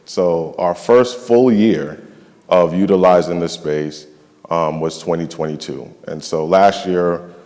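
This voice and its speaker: A man's voice: deep voice, slow, darkish tone, big-room sound. A young man from the Bahamas, a nonprofit finance and facilities staffer, speaking on a summit panel with many ums and restarts. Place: large event hall.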